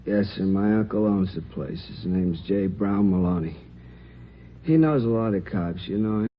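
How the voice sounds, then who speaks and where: An actor playing a rueful, weary crime victim in hospital, talking in a subdued, colloquial way in 1950s radio drama. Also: male voice, fairly low pitch, measured pace. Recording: dull, noisy old radio recording.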